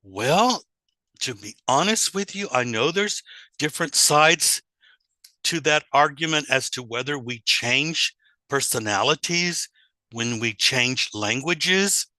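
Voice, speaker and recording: Bright-voiced man lecturing with fillers. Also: medium pitch, expressive, slow pace, dry recording, rough recording. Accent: American. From United States. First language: English. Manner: hesitant, many uh fillers, rhetorical questions. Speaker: teacher trainer giving a lecture. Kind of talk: lecture talk.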